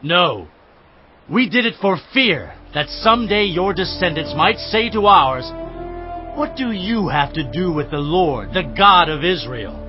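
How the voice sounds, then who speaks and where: Earnest tribesman, husky, fairly high, widely swinging intonation, fervent, pleading, scripture drama, music behind. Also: expressive, a little slow, lo-fi sound. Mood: fervent protest.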